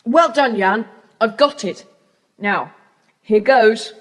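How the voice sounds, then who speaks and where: A woman's voice: a little high, takes it slowly. A woman playing a resolute, take-charge schoolboy in a children's audio adventure play. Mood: decisive. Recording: long hall-like reverb.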